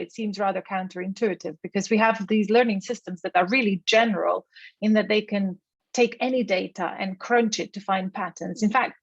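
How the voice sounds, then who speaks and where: A woman's voice: mid-range voice, dry recording. Slovenian-accented woman lecturing, slightly dull audio. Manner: explanatory, with rhetorical questions. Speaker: keynote speaker, AI researcher. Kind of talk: academic keynote lecture.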